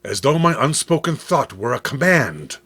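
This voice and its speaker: A man narrating a dramatic scripted horror story in a theatrical, measured way. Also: mid-range voice, big pitch swings, bright, forceful tone, husky. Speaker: narrator of horror comics read-along.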